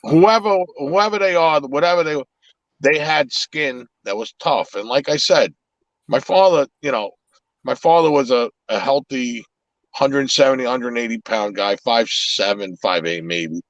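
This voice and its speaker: A man's voice: mid-range voice, animated, measured pace, a bit bright, dry recording, muffled recording. A man whose first language is Italian, talking in a casual, reflective way on a live podcast, with many restarts. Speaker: podcast host.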